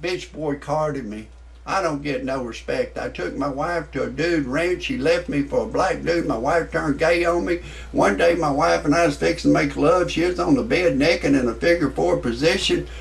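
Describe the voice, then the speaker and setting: A man's voice: a little high, moderate pace, gravelly, distant mic. A man, ranting profanely in a stand-up comedy monologue. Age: 60s. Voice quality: profane, blustering.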